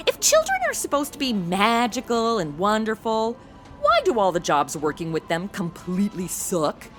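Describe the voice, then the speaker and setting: A young woman playing a snarky, excitable modern gal in a radio comedy, gushing and sassy. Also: mid-range voice, moderate pace, faint music behind. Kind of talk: comic narration and banter in modern slang.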